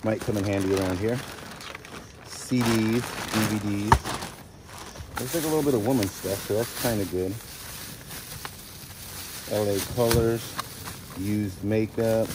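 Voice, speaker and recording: A man in his forties with a lowish voice hosts a casual vlog. He talks informally and slangily, repeating phrases as he comments on what he handles. Clear outdoor noise and vehicle sounds run behind him. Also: a little slow, long pauses. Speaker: host of a storage auction vlog. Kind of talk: casual vlog chat and commentary while sorting finds. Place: outdoors at a storage unit lot, partly in a vehicle.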